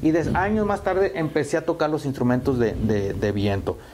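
A man's voice: on the high side, moderate pace, background noise. A Mexican man in his fifties, a musician answering interview questions in a hesitant way, full of fillers and repeated words. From Sonora, Mexico. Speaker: musician guest.

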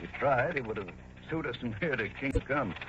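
A man's voice: mid-range voice, animated, brisk, lightly acted. A male actor playing a plain-spoken, world-weary police sergeant in a 1960s radio drama. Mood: frustrated. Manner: emphatic, plain-spoken, slangy. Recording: dull old radio recording, clear hiss.

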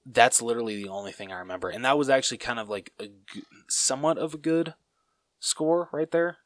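A man co-hosts a podcast and chats about a movie in a joking, laid-back way, with plenty of fillers. His voice sits at an ordinary mid pitch. The sound is dry and close. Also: a little fast, no room sound. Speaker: podcast co-host. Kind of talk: casual movie review chat.

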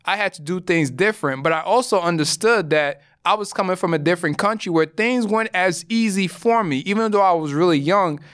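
A man's voice: high voice, a little fast, hardly any pauses, a bit bright, clean tone, dry recording, clean studio sound. A young adult man from Ghana hosting a solo podcast, talking in an earnest, explanatory way with occasional restarts. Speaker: podcast host.

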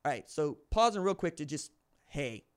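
A man with a fairly high voice reads aloud on his podcast and mixes in his own commentary. He trips over words and corrects himself as he goes. The recording is dry and close, with no room sound. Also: sing-song, normal speed, smooth, dry recording. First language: English. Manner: stumbles and corrects himself mid-sentence. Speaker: podcast host. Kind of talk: reading a book aloud with personal commentary.

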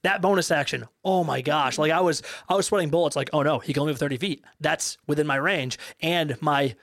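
A man's voice: on the high side, a little fast, no echo, studio quality. A man acting as game master on a tabletop game podcast, bantering and joking with his players before recapping the last fight. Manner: animated, joking, swears casually.

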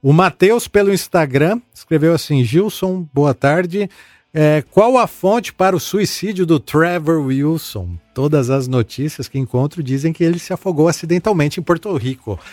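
A man hosting a casual podcast. He chats in a relaxed way and reads listener messages aloud, with many fillers and repeated words. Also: a little high, moderate pace, no room sound, faint music behind. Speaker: podcast host.

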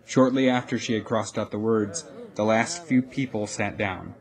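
A man's voice: fairly low pitch, steady tone, normal speed. A man narrating a scripted audio drama as a storyteller. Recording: crowd ambience and noise behind.

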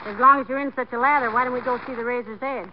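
Woman playing a high-voiced sensible wife. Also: fairly even intonation, close to an everyday voice. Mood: wry, chiding. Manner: brisk, gently chiding, motherly. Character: sensible, wry, patient middle-aged wife. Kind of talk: 1940s radio comedy acting. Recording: dull, noisy 1940s radio recording.

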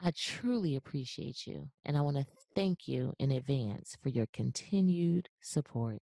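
A woman's voice: very deep voice, animated, normal speed, vocal fry, warm tone, no echo. A woman hosting a podcast interview, enthusiastic and chatty, often repeating her praise. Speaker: podcast host. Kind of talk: casual interview, upbeat.

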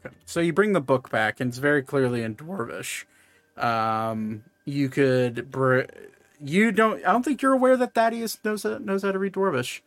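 A man running a tabletop game as game master, narrating in a halting, conversational way and often correcting himself. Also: fairly high pitch, animated, no room sound.

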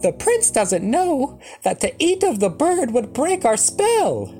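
A man's voice: extremely high pitch, huge pitch swings, takes it slowly, warm tone, soft background music, voice pushed up, full character voice. A man voicing one of the small fairy folk in a storybook tale. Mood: secretive. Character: small fairy folk talking among themselves.

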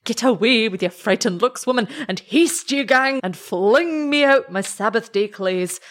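A woman voicing an excitable, tender old shepherd in a story, with a Scottish accent and broad, exclamatory dialect speech. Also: fairly high pitch, a little slow, voice pushed up. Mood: hasty, bossy.

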